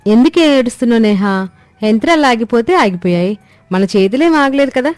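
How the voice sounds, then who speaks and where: A woman voicing a kind, capable young village daughter-in-law in a cartoon story, brisk and reassuring. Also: on the high side, lively intonation, a little mellow, dry recording, soft background music, pitched above the performer's own voice, close to an everyday voice.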